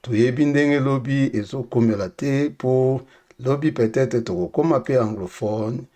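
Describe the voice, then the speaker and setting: A man teaching English phrases, saying each one twice, slowly and clearly, for learners to repeat. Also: mid-range voice, mellow. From Democratic Republic of the Congo. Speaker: language teacher. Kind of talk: casual spoken language lesson with repeated phrases.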